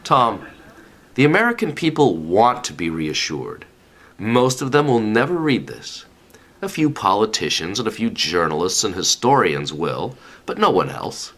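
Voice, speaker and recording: Actor as low-voiced, jovial avuncular senior official. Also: male voice, big pitch swings, unhurried, a bit bright, full character voice. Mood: confident, reassuring. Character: jovial, patronizing, reassuring older male senior official. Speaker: actor in a radio play. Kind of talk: comedic radio play acting.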